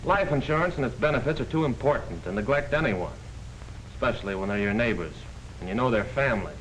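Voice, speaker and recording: A man's voice: mid-range voice, animated, fast, a bit bright, close to an everyday voice. An actor in a 1940s film playing an earnest small-town male insurance salesman, folksy, patient and persuasive. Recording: noisy 1940s film soundtrack.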